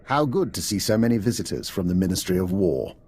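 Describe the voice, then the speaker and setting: A man's voice: low-pitched, lively intonation, fast talker, darkish tone, slight background noise. A man playing an urbane intelligence operative in a video game, with a polished, dryly witty manner. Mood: wry.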